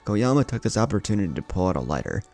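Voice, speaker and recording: A man's voice: lowish voice, sing-song, quick pace, creaky, a little mellow. A man narrating a scripted dramatic story as the host of a story channel. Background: faint music.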